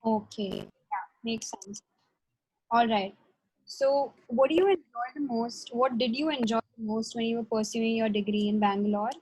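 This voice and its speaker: Husky-voiced woman hosting an interview. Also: a little high, moderate pace, darkish tone, low-quality recording. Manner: brief, encouraging replies. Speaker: interviewer, host. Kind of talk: interview questions.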